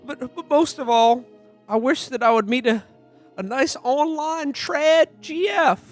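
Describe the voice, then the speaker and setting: A man's voice: very high-pitched, faint music behind. A man playing a naive, bashful podcaster who stammers in a parody of old film acting. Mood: bashful, eager. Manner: stammering, halting. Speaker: podcast host playing himself. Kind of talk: parody of 1940s film acting in a radio play.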